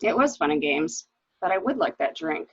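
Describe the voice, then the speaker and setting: Woman acting husky, creaky, bitter wife. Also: fairly low pitch, sing-song, normal speed, no echo, highs cut off, full character voice. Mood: wry. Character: cool, weary, bitter wife who shot her husband. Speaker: actor in an amateur library reading. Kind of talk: dramatic reading of a stage murder mystery.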